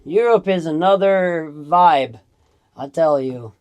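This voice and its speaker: A man's voice: on the high side, slow, darkish tone. A man raised in Hungary hosting a casual podcast interview, chatty and rambling, often laughing. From born in the United States, raised in Hungary. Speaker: podcast host.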